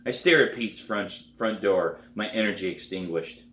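A middle-aged man reading his novel aloud as a storybook narrator, with an expressive, dramatic delivery. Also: fairly low pitch, normal speed. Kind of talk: storybook read-aloud, first-person narration. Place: small living room, indoors. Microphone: camera a few feet away. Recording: roomy, echoey living room.

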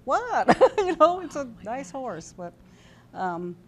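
A woman's voice: high voice, very animated, takes it slowly, a little hiss or hum. A woman in her sixties from California with an American accent, reminiscing casually and hesitantly in a studio interview. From California, United States. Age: 60s. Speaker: interview guest. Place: TV studio, indoors at a desk. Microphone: lapel mic.

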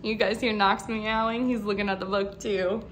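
A woman in her thirties, a teacher, reading a picture book aloud to young children in an expressive, animated way. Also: medium pitch, normal speed, a little hiss or hum. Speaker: second grade teacher. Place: living room at home, indoors.

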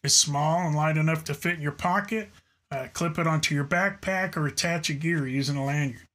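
A man's voice: fairly high pitch, fairly quick, a bit bright. A middle-aged man giving an earnest, semi-scripted product review to camera, explaining features and occasionally stumbling over his lines. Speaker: YouTube host reviewing radio gear. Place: indoor studio room with gear shelves.

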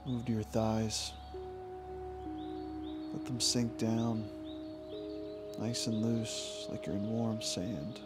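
Male meditation guide, informal and soothing, very slow delivery with long pauses, clear music bed. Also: on the low side, flat delivery. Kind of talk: guided meditation, yoga nidra body scan.

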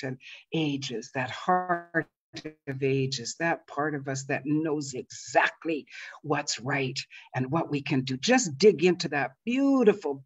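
A woman in her fifties or older with a deep, low voice, telling a personal story on a video call. She talks expressively, with loud emphasis and soft asides. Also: breathy edge. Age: 50s or older. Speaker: co-presenter of an online master class. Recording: dull, lo-fi video call audio.

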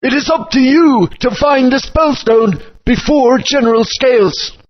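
A man's voice: extremely high pitch, unhurried. An actor playing an old, fatherly dinosaur guardian in a video game, speaking in a grand, storytelling manner. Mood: wise. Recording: dull lo-fi game audio.

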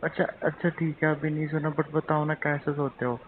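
Man acting a young fiancé, teasing, tight with money, casual phone-drama chat, slightly high voice, tinny call sound. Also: completely flat delivery, normal speed, lightly acted. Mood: playful, teasing. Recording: tinny phone line, faint noise.

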